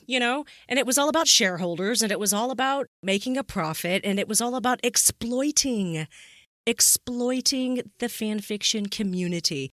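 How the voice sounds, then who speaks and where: A woman's voice: fairly high pitch, expressive, moderate pace, fairly bright tone, no echo, clean studio sound. A woman hosting a podcast with casual, opinionated talk, emphatic and repeating phrases for stress. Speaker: podcast host.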